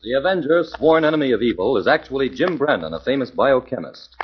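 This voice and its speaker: A man's voice: mid-range voice, very animated, brisk. A man announcing a 1940s radio drama in a dramatic, declaiming manner. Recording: dull 1940s radio with music.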